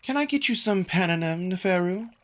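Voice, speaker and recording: A man voicing a sharp-tongued female alien wolf in a visual novel, teasing and scolding her brother. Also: sits high, expressive, brisk, voice pushed up. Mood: hospitable.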